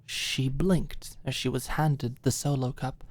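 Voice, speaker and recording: Very low-pitched woman narrating an audiobook. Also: fairly even intonation, takes it slowly, a little mellow. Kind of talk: scripted storytelling narration, ASMR audiobook.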